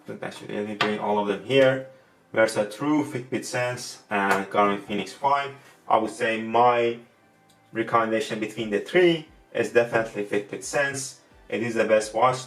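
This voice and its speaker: Persian-accented man, halting review talk. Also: lowish voice. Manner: halting, repeats words and restarts often. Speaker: tech reviewer. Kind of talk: casual product review. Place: room at a desk, indoors. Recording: roomy, distant mic with faint music.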